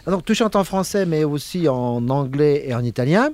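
Radio host, man, somewhat high-pitched, dry studio sound, easygoing. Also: moderate pace, dry recording. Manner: relaxed, chatty, reads introductions. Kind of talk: radio interview hosting.

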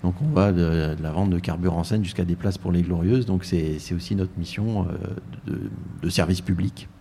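Radio guest, male, very low and dark voice, halting delivery with many fillers, faint background hiss. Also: vocal fry. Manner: hesitant, many fillers and restarts. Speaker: tourist office director. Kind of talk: live radio interview.

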